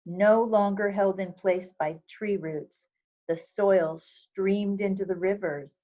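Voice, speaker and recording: A woman reading a picture book aloud for children, with measured, expressive storytelling phrasing. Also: mid-range voice, dull audio. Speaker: volunteer reader of a children's book.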